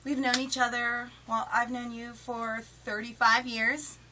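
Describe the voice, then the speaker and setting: A woman's voice: fairly high pitch, little pitch movement, measured pace, pushed and bright, slight background noise, poor audio. A woman in her late thirties from West Virginia, chatting and giggling through a casting audition video. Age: 30s. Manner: chatty, giggly, self-described goofball. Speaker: casting applicant.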